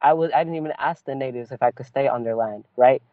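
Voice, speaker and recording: A man from California, a poet and activist, telling his story as an interview guest in an animated, casual way, often saying "like" and "right?". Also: mid-range voice, fast talker, no echo. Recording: tinny phone-like call audio.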